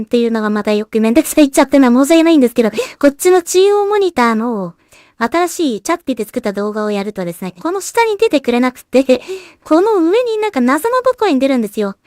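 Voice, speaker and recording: A woman with a slightly high voice gives a casual work-along commentary. She thinks aloud in an unhurried way, often trailing off into remarks meant for herself. The sound is fairly dry, with little room around the voice. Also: darkish tone, no room sound. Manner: unhurried musing with trailing, self-directed remarks. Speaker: solo animation creator and streamer.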